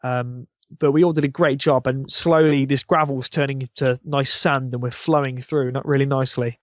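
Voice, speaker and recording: A man's voice: mid-range voice, steady tone, normal speed, no room sound, studio quality. A man talking casually as a podcast guest, rambling informally and with enthusiasm. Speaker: podcast guest, YouTube channel founder. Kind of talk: casual interview chat about a gaming channel.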